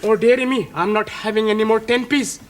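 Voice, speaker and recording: An actor playing an excitable man stuck on a fruit machine, speaking broken English full of -ing verb forms. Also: high voice, brisk, a little hiss or hum, natural voice. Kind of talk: studio sitcom acting.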